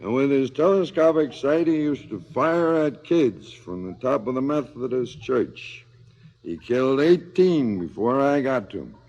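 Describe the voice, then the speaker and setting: An actor playing a cynical, philosophizing hired killer in a radio drama, sardonic and theatrical. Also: male voice, medium pitch, animated, a little slow, darkish tone. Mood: brooding, mocking. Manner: sardonic, theatrical, swinging from muttering to outbursts. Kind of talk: 1970s radio drama acting. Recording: slightly dull 1970s radio recording.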